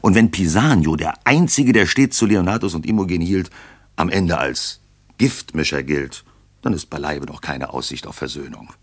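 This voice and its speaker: Very deep-voiced male storyteller. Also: lively intonation, moderate pace, breathy edge, dry recording. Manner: wry, theatrical storyteller. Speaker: narrator. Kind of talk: scripted storytelling narration.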